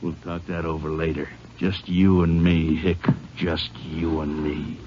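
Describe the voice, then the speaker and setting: A man's voice: extremely low pitch, level tone, a little slow, slight background noise. Sneering gunman, dull old recording. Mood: quietly sinister. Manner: curt, bullying. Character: menacing, sneering male gunman and robber. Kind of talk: old-time radio acting.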